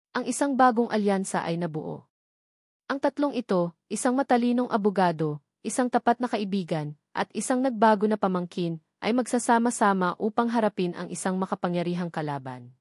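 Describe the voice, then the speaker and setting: A woman's voice: mid-range voice, lively intonation, normal speed, darkish tone, dry recording. Woman narrating a story, very dry sound. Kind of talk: scripted dramatic story narration, reading dialogue herself.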